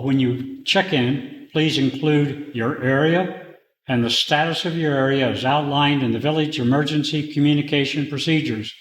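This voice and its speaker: A man reading scripted instructional material and radio procedures in a deliberate, procedural way. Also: mid-range voice, rough recording. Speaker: training presenter and radio net controller in demonstration.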